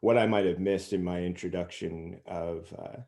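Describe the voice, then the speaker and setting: A man's voice: deep voice, steady tone, normal speed, dark tone. A man hosting a group interview on a video call, speaking in a conversational style. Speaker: interview host.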